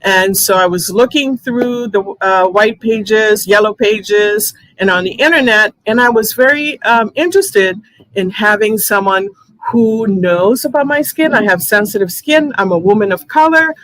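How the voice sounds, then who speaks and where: A woman's voice: medium pitch, unhurried, a bit bright, rough recording. A woman hosting a live online interview, chatty, with many fillers and restarts. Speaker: host.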